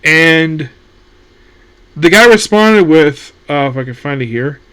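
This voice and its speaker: A man's voice: on the high side, lively intonation, slow, pushed and bright, clear, resonant tone, low-quality recording. A man hosting a casual solo anime news talk, rambling with many fillers and restarts.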